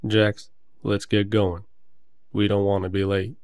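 Protective werewolf male actor, audio drama. Steady low tone, reassuring manner, close dry sound. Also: measured pace, smooth, no room sound. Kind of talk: roleplay audio drama acting.

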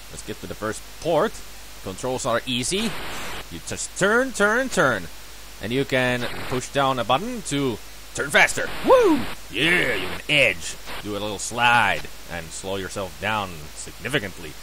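A man's voice: mid-range voice, unhurried, noisy background. Sore-throated man commenting a retro game. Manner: rambling, self-correcting, bursts of excited cheers. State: sore throat, voice lower than usual. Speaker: let's player. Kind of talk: casual gameplay commentary.

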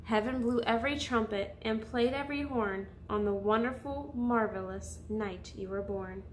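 A woman with a fairly high voice hosts a story time for children. She reads in picture-book narration style and addresses her young listeners directly. Faint background noise sits behind her voice. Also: moderate pace.

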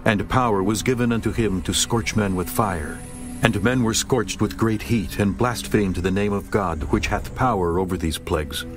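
A man narrating scripture in a slow, solemn, stately reading over music. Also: sits low.

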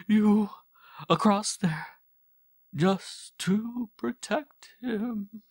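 A man voicing an anguished French mother who pleads in slow, breathy, strained whispers. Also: fairly high pitch, darkish tone, no room sound, clean studio sound, voice pushed up, exaggerated voice. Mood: desperate pleading.

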